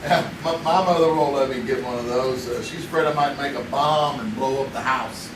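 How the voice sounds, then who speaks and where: A man's voice: mid-range voice, brisk, noisy background, close to an everyday voice. An actor playing JC, a hesitant, good-natured young man who reads comic books, in a live stage radio drama. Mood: goofy. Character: naive young man JC, comic-book reader. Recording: echoey theater sound from a distant mic.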